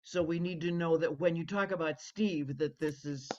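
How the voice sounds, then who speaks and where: A co-host giving effusive, warm feedback on a story over a video call. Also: mid-range voice, normal speed. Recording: dull lo-fi video call audio.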